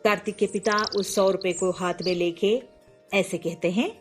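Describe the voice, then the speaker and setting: A woman's voice: mid-range voice, fairly even intonation, normal speed. A woman narrating a scripted moral story. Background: faint music.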